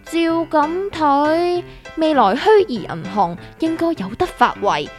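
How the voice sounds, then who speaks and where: A woman's voice: sits high, expressive, slow pace, soft background music. A woman with a Hong Kong accent playing a cheeky, curious novice investor, exclamatory and teasing, in a comic radio drama. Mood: eager.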